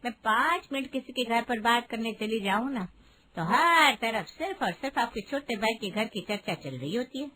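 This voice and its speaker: A woman's voice: a little high, animated, normal speed, sharp tone, slight background noise, poor audio. A woman voicing a judgmental, middle-aged gossiping aunt in a Hindi moral-story cartoon, complaining and scandalized. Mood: aggrieved complaining.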